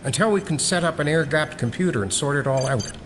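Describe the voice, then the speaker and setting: Sardonic middle-aged american hacker in a radio drama, swoops around, noise behind him. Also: male voice, a little high, expressive, quick pace, a bit bright. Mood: suspicious. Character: gruff, wisecracking male conspiracy-hacker, middle-aged, sardonic. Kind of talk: audio drama acting.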